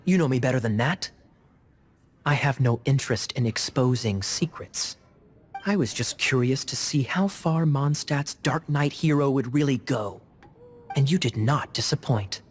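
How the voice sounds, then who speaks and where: A man voicing a sly young knight in a video game, smooth and teasing. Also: medium pitch, unhurried, soft background music.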